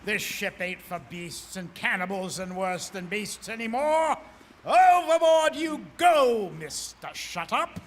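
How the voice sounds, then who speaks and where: Slow, menacing sea captain. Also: male voice, high-pitched, lively intonation, noisy background. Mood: cruel glee. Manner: mocking, menacing, bellowing. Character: drunken, cruel ship captain tossing men overboard. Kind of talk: theatrical live audio drama.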